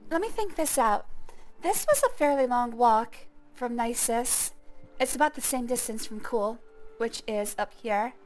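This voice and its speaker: A young woman with a high voice gives relaxed commentary over a video game. She thinks aloud and pokes fun at herself, and faint game music plays underneath. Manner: chatty, self-deprecating, thinks aloud. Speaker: gaming streamer. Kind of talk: casual gameplay commentary.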